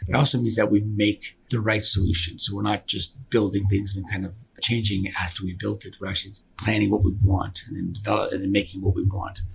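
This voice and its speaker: Man, lowish gravelly voice, rapid casual interview talk, repeats and restarts phrases, background noise. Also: a bit bright, rough recording. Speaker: business founder and company director being interviewed.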